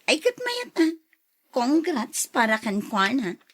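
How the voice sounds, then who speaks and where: An actress playing a stubborn middle-aged unmarried schoolteacher aunt in a radio drama, with an Ilocano accent and an exasperated, emphatic manner. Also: female voice, high-pitched, big pitch swings, slow pace, slightly rough, dry recording, heavily acted. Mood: casual, dismissive.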